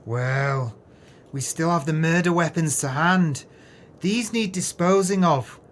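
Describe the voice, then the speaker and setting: An actor in an audio play voicing a cautious, worried accomplice to murder. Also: male voice, on the high side, slow pace, slight background noise. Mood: grave, insistent. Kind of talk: audio drama acting.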